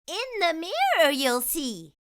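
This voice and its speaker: A woman's voice: very high voice, huge pitch swings, takes it slowly, a bit bright, pitched above the performer's own voice, full character voice. A woman voicing a graceful, kindly magic fairy in a storybook, reassuring and theatrical in a sing-song way. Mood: encouraging.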